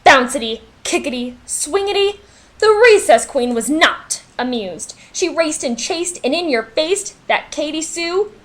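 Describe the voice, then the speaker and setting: A woman reading a children's picture book aloud in an expressive, dramatic, sing-song way, as a storyteller. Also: sits high, measured pace. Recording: voice-over with music underneath. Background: background music.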